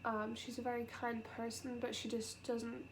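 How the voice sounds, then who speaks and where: A young woman with a cold, sniffly and nasal, talking softly and haltingly in a personal vlog, close to tears. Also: a little high, monotone, a little hiss or hum. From United Kingdom. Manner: halting and soft-spoken, with many pauses and fillers. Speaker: vlogger. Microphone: camera.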